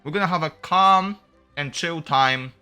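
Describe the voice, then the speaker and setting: A man giving casual, chatty and excitable playthrough commentary as a gaming streamer, full of slang. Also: fairly high pitch, unhurried, clear, resonant tone. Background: video game music.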